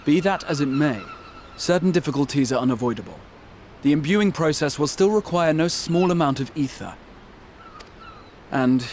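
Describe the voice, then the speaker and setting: A man's voice: mid-range voice, darkish tone, a little hiss or hum, lightly acted. An actor voicing a courteous, formal young male mage in a video game.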